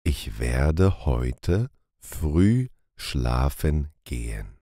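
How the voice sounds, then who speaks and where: A man reading short phrases calmly and deliberately for a language lesson, repeating each one. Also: rumbling low, no echo, studio quality. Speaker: language teacher.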